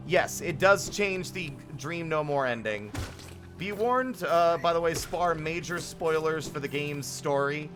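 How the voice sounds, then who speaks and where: A man's voice: a little high, animated, unhurried, fairly bright tone. A man chatting casually and expressively to viewers while live-streaming a game, with some swearing. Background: game music.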